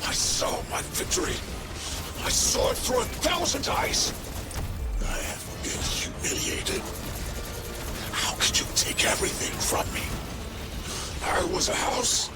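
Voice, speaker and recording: A man's voice: extremely high pitch, sing-song, unhurried, long pauses, heavily acted. A man playing a grandiose, vengeful, ruined alien house leader in a video game, with theatrical declamation. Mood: anguished fury. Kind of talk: dramatic video game acting. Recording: loud music over the voice.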